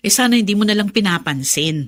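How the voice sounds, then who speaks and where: A woman's voice: medium pitch, animated, quick pace, natural voice. An actress playing a weary, resentful elder sister and mother in a radio drama, resigned, with a Filipino accent. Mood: concerned. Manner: exasperated, resigned.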